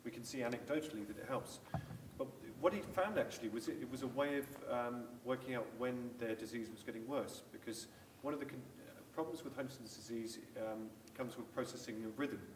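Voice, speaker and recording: A man who works with patients at a hospital, explaining clinical examples on a panel in a measured way. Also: mid-range voice. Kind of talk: panel discussion. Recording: echoey room, distant mic, faint noise.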